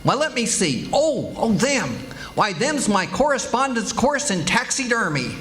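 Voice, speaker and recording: Man playing a high-pitched, folksy blustering husband. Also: very animated, a little slow, a bit bright, soft background music, exaggerated voice. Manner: folksy, excuse-making, wisecracking. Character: blustery, boastful, folksy husband who hoards junk. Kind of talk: 1940s radio comedy acting. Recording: live stage recording with background noise.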